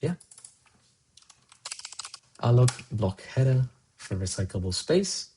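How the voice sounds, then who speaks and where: Man, Spanish speaker, casual live coding, thinking out loud, faint keyboard typing behind. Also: mid-range voice, big pitch swings, slow pace, slight background noise. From Colombia. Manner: muses aloud, answers chat between tasks. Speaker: programmer streaming live coding.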